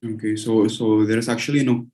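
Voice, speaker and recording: A man's voice: lowish voice, flat delivery, normal speed, mellow. A man from Indonesia with an Indonesian accent, moderating an online webinar, asking questions and giving short acknowledgements over a video call. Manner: brief backchannels, friendly questioning.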